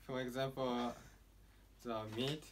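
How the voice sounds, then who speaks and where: Slow young man. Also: mid-range voice, little pitch movement, a little hiss or hum. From Japan. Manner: slow, listing word by word. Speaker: exchange student. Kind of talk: casual interview answers.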